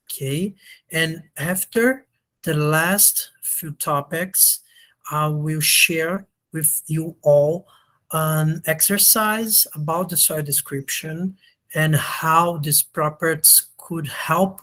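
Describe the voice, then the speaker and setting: Slow-talking lecturer with an under-the-weather voice. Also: mid-range voice, a bit bright. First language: not English. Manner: hesitant non-native English, checks understanding with frequent "okay?". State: slightly sick, voice not at its best. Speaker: university lecturer. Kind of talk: online lecture, explanatory. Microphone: video call.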